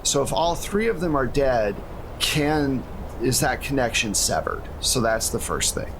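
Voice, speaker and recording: A man's voice: medium pitch, sing-song, unhurried, vocal fry. A man hosting a tabletop roleplaying game as game master, talking casually and explaining things in a conversational way. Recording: noticeable background noise.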